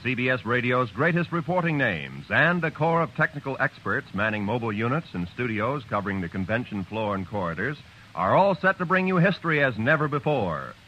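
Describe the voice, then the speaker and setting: A man announcing for network radio in a crisp, emphatic, promotional 1950s style. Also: mid-range voice, very animated, brisk, highs cut off, a little hiss or hum.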